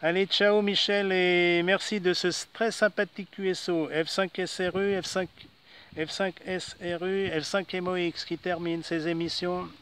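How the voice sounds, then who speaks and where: A man's voice: high voice, fairly even intonation, unhurried, background noise. A man from France chatting casually as an amateur radio operator, often ending phrases with little conversational tags. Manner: chatty, frequent "hein" tags. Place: outdoors.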